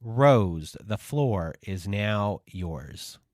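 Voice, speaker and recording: A man hosting a podcast, introducing his guest in a measured, earnest way. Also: on the low side, animated, darkish tone, dry recording, clean studio sound. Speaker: podcast host.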